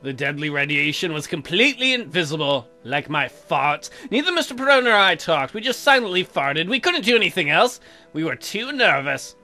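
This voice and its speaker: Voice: medium pitch, normal speed, soft background music. चुलबुला, बेबाक गेमर, उतार-चढ़ाव भरी तीखी आवाज़. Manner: irreverent, crude, animated. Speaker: let's player. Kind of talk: joking playthrough commentary and dramatic read-aloud.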